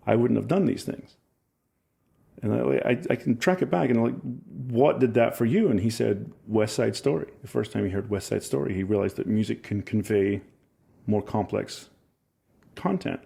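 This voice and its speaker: A man in his forties with a Scottish accent softened by years in Ohio, a musician chatting in an interview and telling rambling, enthusiastic stories with self-mocking laughs. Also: lowish voice, moderate pace, creaky, husky. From Scotland. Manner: rambling, enthusiastic storyteller who laughs at himself. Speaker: musician guest. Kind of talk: casual interview chat.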